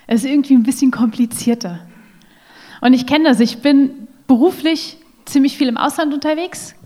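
A woman's voice: a little high, warm tone. Woman speaker at church, chatty and personal, echoey hall. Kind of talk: casual talk to an audience.

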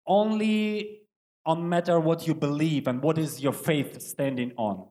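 A man in his thirties from Ukraine with a Ukrainian accent, preaching in broken English in short phrases that pause for an interpreter. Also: a little high, dark tone. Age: 30s. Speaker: guest preacher. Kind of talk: sermon with live interpretation.

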